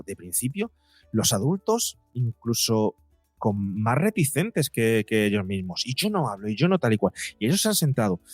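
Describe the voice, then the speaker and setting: Male, medium pitch. Interview guest who explains by example and repeats for emphasis. Dry sound. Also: no room sound. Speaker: psychologist and podcaster, interview guest. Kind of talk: explanatory conversational interview talk.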